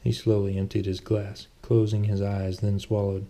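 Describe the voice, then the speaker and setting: A man reading his own novel aloud as scripted narration, with a low, gravelly voice. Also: flat delivery, moderate pace, dark tone. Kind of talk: audiobook-style scripted narration.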